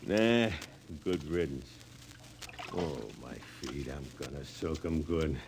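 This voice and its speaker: A man's voice: a bass voice, expressive, slow, heavily acted. An actor playing a crude, scornful space crewman in a 1950s radio drama, griping in coarse slang on a noisy old recording.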